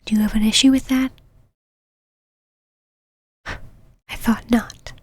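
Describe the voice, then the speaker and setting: A woman voicing a possessive, secretly tender vampire knight in an intimate ASMR roleplay, whispering softly in a haughty yet halting, easily flustered way. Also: a little high, steady tone. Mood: grudgingly affectionate. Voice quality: breathy, soft whisper.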